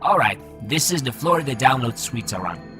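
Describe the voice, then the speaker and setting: A man's voice: medium pitch, very animated, moderate pace, fairly bright tone, soft background music. An actor playing a proud, teasing man made of nanomachine fog in a voiced comic, chatty and explanatory. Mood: breezy, teasing. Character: breezy, proud male human made of floating nanomachine fog. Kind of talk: voiced comic audio drama.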